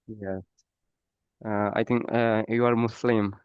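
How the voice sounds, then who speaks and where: A man from Pakistan, a native Punjabi speaker, talking English slowly with many pauses and "uh"s, always polite. His voice is smooth but fairly flat. The sound is dry and a bit dull, like a cheap mic. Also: on the low side, no echo. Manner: halting, many "uh" fillers, polite. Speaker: developer practicing English. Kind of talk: casual conversation practice.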